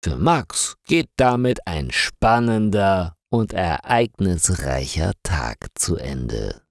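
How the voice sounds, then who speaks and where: A man reads a scripted crime tale aloud for learners. His voice sits very low but moves expressively up and down, and he takes his time over every word. The recording is dry and close, with no room around it. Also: sing-song, no room sound. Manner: slow, clearly articulated reading with rising and falling emphasis. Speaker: narrator. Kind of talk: scripted story narration for language learners.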